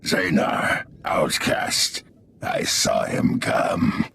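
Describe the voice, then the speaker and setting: An actor playing a grim alien outcast in a video game, with a gravelly growl and terse, cryptic phrasing. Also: male voice, extremely slow, over music. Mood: ominous. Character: grim outcast alien man who witnessed a mysterious stranger.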